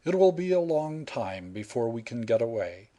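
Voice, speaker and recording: A man's voice: medium pitch, very animated, mellow, lightly acted. A man reading aloud and voicing an unruffled young man who teases his sweetheart with dry humour. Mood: teasing. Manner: unhurried, dry, wry.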